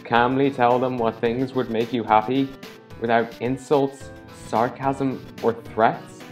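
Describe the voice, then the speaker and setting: A man playing a plain-spoken, sympathetic but blunt mediator, reasoning in a measured way in a fan comic dub. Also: medium pitch, level tone, soft background music, lightly acted. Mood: sympathetic, frank.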